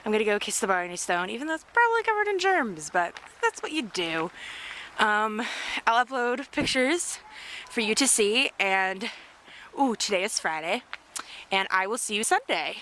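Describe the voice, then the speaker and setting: A woman's voice: mid-range voice, big pitch swings, normal speed, sharp tone, a little hiss or hum. A young American woman, a student traveling abroad, chatting casually and rambling to her sibling in a travel vlog, outdoors at a castle. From United States. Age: young adult.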